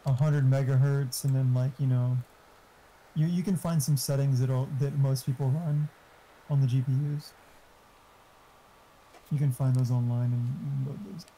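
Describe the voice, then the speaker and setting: A man's voice: mid-range voice, fairly even intonation, moderate pace, long pauses, mellow, a little hiss or hum, rough recording. A man chatting casually and giving tech advice, swearing freely as he goes. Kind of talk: casual chat.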